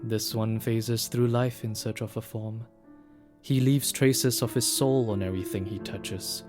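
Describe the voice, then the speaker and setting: A man playing a solemn, mysterious guide through ghostly ruins, narrating an audio drama in a measured, poetic manner. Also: on the low side, fairly even intonation, natural voice. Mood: solemn, haunting. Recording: music under the voice.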